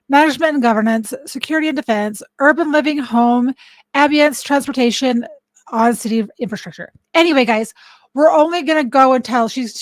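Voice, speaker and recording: A woman hosting a livestream, reading aloud and thinking out loud as she looks things up, often correcting herself. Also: on the high side, no room sound. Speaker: livestream host. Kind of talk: casual livestream commentary.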